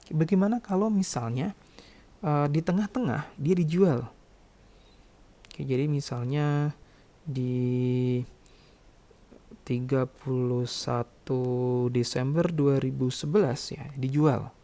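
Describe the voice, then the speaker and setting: A male lecturer with a noticeably gravelly edge to his voice. His tone is soft and mellow, sitting at an average male pitch. He explains things in an instructional, step-by-step way, punctuated by small check-in tags. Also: takes it slowly, long pauses. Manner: instructional, repeatedly checking with short tag words. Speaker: accounting lecturer.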